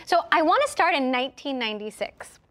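A woman hosting a broadcast interview, introducing her guest and asking crisp, polished questions. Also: high voice, sing-song, a little fast. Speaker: interview host.

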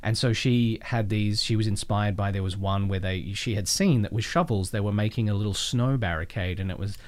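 A man with a fairly low voice talks casually as an interview guest. He tells his story conversationally, wandering into asides as he goes. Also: a little fast. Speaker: voice actor guest.

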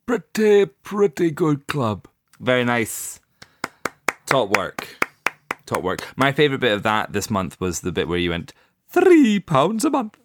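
A man's voice: mid-range voice, wildly swooping pitch, measured pace. A man co-hosting a casual podcast, jokey and giggly, with playful swearing. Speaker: podcast co-host. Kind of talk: casual label podcast chat.

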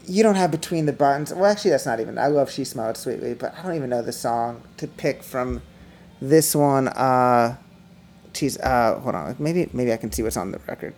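A middle-aged man, a musician guest, chatting casually on a podcast, rambling with restarts and fillers in a high, slightly whiny voice. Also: fairly quick, a little mellow. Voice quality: self-described high, whiny voice. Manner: rambling, many restarts and fillers, laughs often.

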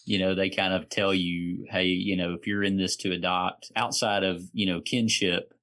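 Southern guy guesting on a podcast, lowish gravelly voice, relaxed casual chat. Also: normal speed. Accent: Southern American. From Southern United States. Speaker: podcast guest, foster and adoptive father.

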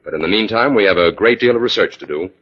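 A man acting in 1940s radio style as a calm, authoritative scientist detective, measured and dryly skeptical. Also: lowish voice, close to an everyday voice. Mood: calm, purposeful. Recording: dull vintage 1940s radio recording.

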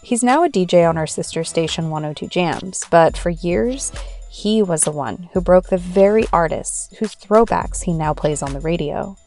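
A woman's voice: fairly low pitch, expressive, normal speed, darkish tone, soft background music. An American woman from the San Francisco Bay Area speaking as the host of a radio podcast. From San Francisco Bay Area, United States. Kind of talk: scripted narration and interview.

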